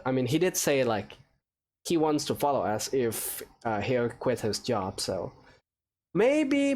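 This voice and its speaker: A man hosting a gaming video, giving casual, musing let's play commentary in a hesitant, rambling way. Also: on the high side. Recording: music behind the voice.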